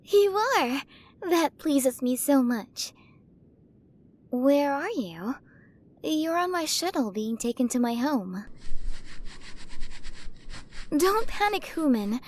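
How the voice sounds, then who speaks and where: A towering alien queen, acted by a woman, speaks directly to the listener in an ASMR roleplay. Her voice is on the high side, and she coos with doting fascination. She treats humans as small, curious treasures that belong to her. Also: sing-song, slow, exaggerated voice. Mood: delighted, fussing. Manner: cooing, fascinated, quaintly mispronouncing human words.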